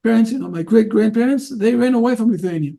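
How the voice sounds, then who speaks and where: Gravelly high-pitched man, halting English. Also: warm tone. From Israel. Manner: halting non-native English with frequent fillers. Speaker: rabbi. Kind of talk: interview guest.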